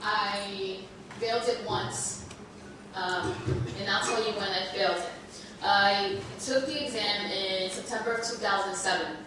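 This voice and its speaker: A woman whose first language is Spanish, a bit under the weather, telling her story to an audience in an earnest, halting way with many restarts. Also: medium pitch. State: under the weather, slight cold. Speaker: panel speaker. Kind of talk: personal talk to an audience. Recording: echoey hall, far from mic, noisy.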